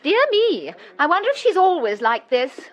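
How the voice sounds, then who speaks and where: A woman's voice: high voice, very animated, normal speed, highs cut off. An actress playing a flirtatious, theatrical society lady in a period radio drama, silky, mock-pious and provoking. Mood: sweetly provoking.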